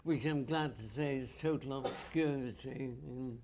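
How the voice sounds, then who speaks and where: A man's voice: medium pitch, moderate pace, a crowd in the background. A man on a public panel, telling theatre memories in a dry, wry, understated way. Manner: dry, wry storyteller who understates the humor. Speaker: audience panelist. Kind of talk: reminiscing in a public panel chat.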